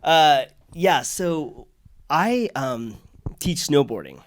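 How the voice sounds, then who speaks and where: A man's voice: fairly high pitch, expressive, extremely slow. Enthusiastic man telling a story. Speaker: podcast host. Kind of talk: casual storytelling conversation.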